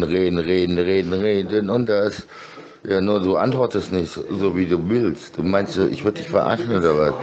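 A man's voice: low-pitched, lo-fi sound. A middle-aged man in a casual, rambling monologue, insistent and disjointed, repeating himself, speaking close into a phone.

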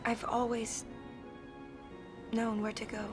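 Young female game summoner: gentle, resolute, fairly high, music behind. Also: monotone, takes it slowly. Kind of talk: video game cutscene acting.

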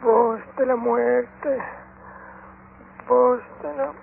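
An actor playing a wounded, delirious Mexican sheep herder, moaning weakly and gasping in pain in a radio Western. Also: male voice, extremely high pitch, little pitch movement, very slow, vocal fry, exaggerated voice. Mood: delirious, dying. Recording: tinny, noisy old radio recording.

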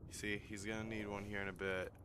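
A man giving casual gameplay commentary while losing his voice, excitable and swearing when something startles him. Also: lowish voice, fast, background noise. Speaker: gaming YouTuber.

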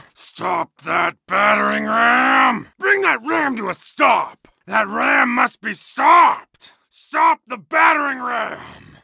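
Gravelly middle-aged berserker warrior yelling orders in a game, high strained bellow, slow heavy delivery. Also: male voice, no room sound, studio quality, exaggerated voice. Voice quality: gravelly, raspy roar. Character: savage bearded medieval berserker warrior, bloodthirsty. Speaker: game soldier character. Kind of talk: barked battle commands.

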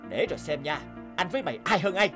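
A man's voice: a little high, animated, fast, bright, forceful tone, soft background music. A man voicing a cocky, boastful young gambler in a cartoon, swaggering and scornful as a bossy older brother. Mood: scolding, boastful. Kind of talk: animated moral tale dialogue.

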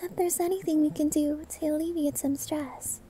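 A woman playing a shy, sweet young maid new to the job, hesitant and gentle, in an anime-style ASMR roleplay. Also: fairly even intonation, normal speed, lightly acted. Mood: caring. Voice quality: soft, high, girlish. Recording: music behind the voice.

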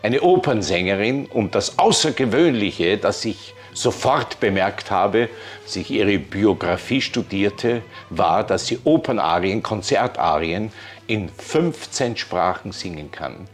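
A man's voice: fairly low pitch, animated, measured pace, faint music behind. A man in his sixties hosting a formal interview in a polite, effusive way, on a lapel mic in an ornate room. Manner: courteous, effusive. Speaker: interviewer, host. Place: ornate indoor reception room.

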